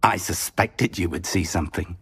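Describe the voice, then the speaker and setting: An actor playing an older wizard professor, a kindly mentor with a husky, measured voice. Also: male voice, deep voice, fairly bright tone, heavily acted. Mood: earnest. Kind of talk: game cutscene acting.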